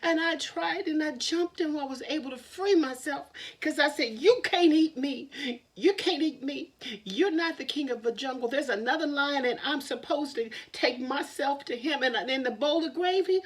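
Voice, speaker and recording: A woman voicing a quick, clever little female bunny who chatters slyly and coaxes. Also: high voice, voice pushed up, close to an everyday voice. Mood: frantic. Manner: chattering, sly and coaxing.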